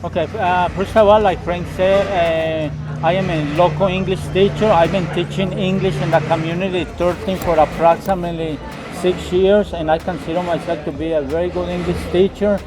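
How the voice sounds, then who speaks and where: A man from Colombia who was raised in the United States, a local guide and English teacher, answering questions slowly with many fillers and repeats. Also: high-pitched, fairly even intonation, low-quality recording. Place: outdoors on stairs in a city neighborhood.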